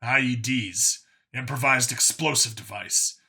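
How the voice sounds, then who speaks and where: A man's voice: mid-range voice, a little slow, vocal fry, bright, forceful tone, husky, heavily acted. A man voicing a blunt, irritable human male detective in a science fiction audiobook. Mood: explaining. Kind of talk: audiobook character acting.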